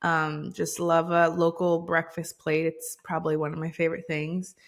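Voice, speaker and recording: A woman's voice: low voice, monotone. A woman who grew up in Hawaii, a podcast guest talking in an upbeat, casual way about her coaching work. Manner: upbeat, fast-talking with fillers. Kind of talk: casual interview chat.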